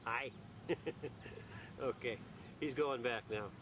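A man's voice: medium pitch, drawn-out words. A man casually narrating his shore fishing trip in an outdoor harbor park, talking in an easygoing, chatty way with frequent fillers. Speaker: fishing vlogger, ship crew member. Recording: outdoor recording with loud wind noise.

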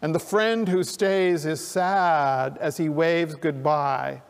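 An older male minister delivers his sermon at a slow, unhurried pace, his voice sitting a little high for a man. He speaks earnestly with deliberate pauses into a pulpit mic, and the sound rings out with the long reverb of a church sanctuary. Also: fairly even intonation, creaky, clean tone. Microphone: pulpit microphone.

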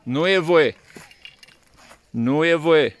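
A man working as a ski instructor, talking casually and playfully, teasing and coaxing as he calls out on a slope. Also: fairly high pitch. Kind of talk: casual phone-video chat while skiing. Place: outdoors on a snowy ski slope. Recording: windy outdoor phone recording, wind noise.

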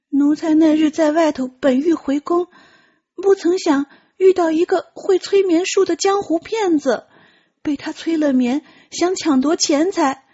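A meek young palace eunuch played by a woman speaks in a very high, girlish voice. The servant is flustered and stammering, in audiobook acting with slightly dull sound. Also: a little mellow.

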